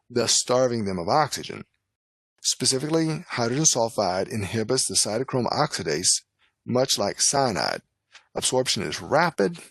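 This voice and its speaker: A man narrating a scripted medical lecture as an instructor, in a formal, measured, instructional manner. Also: fairly low pitch, dry recording. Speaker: lecturer, nurse and poison specialist.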